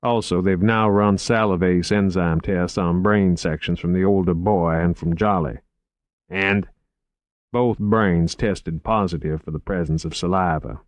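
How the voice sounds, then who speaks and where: Man voicing urbane, low-voiced, drily witty investigator. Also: fairly even intonation, dry recording. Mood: matter-of-fact. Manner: genteel, measured, dry. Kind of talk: audiobook character acting.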